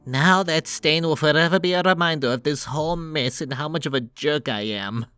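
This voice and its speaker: A man playing an anxious, guilt-ridden younger-brother plumber in an animated comic dub, sighing and putting himself down. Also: on the high side, moderate pace, clear, resonant tone, no room sound, faint music behind. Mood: guilty, self-loathing. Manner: sighing, self-deprecating.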